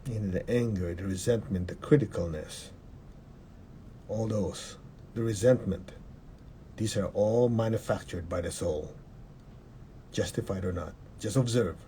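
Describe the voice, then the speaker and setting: A man leading a guided meditation in a calm, measured way, repeating short instructions and having the listener repeat affirmations. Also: on the low side, a little hiss or hum.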